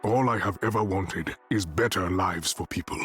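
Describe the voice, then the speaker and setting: A dignified, principled king in a video game. His voice is low, with a formal, measured and firm delivery. Faint music runs underneath. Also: a bit bright. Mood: composed. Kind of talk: video game character acting.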